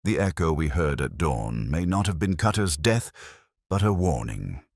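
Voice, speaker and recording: A man voicing a calm, cerebral Victorian detective with measured, formal speech and quiet authority in an audiobook story. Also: rumbling low, dry recording, studio quality, exaggerated voice. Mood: coolly deductive.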